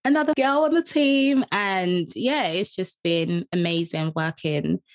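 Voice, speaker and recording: A woman's voice: fairly low pitch, a little slow, dry recording. A young woman hosting a podcast, chatty and bubbly, laughing often as she talks with a guest. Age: young adult. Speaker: podcast host. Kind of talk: casual interview chat.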